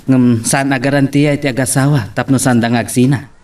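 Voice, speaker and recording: A man's voice: medium pitch, steady tone, brisk, darkish tone, lightly acted. A man playing a sympathetic, frank friend with an Ilocano accent, questioning and advising in a drama. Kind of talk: Ilocano radio-style drama acting. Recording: distant from the microphone.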